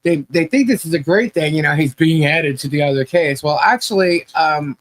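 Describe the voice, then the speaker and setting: A woman's voice: sits low, moderate pace. A woman hosting a casual livestream podcast, sounding nasal today and rambling with restarts and laughs.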